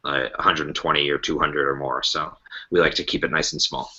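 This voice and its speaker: A man with a low voice that is strikingly bright and sharp. He explains things in casual conversation as a guest. The sound is slightly dull, like a modest podcast recording. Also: steady tone, fairly quick, breathy edge. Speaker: podcast guest, improv festival organiser.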